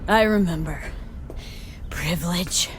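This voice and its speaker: A woman's voice: lowish voice, slow, noisy background. A woman voicing a cocky, streetwise mercenary in a cyberpunk video game, terse and sardonic. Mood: dry.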